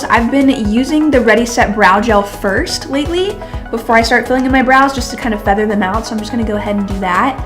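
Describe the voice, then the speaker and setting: A young woman in her twenties with a stuffy, nasal voice talks through a makeup tutorial. She rambles in a chatty way, full of fillers, and calls her viewers beauties. Soft music plays faintly behind her. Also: mid-range voice. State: congested from a cold, nasal. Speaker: beauty vlogger. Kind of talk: casual makeup tutorial talk.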